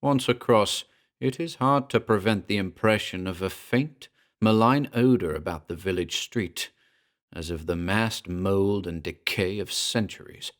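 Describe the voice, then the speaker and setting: Low-pitched man narrating a horror tale. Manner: measured, deliberate, formal literary delivery. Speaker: narrator and presenter. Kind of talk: scripted horror story narration.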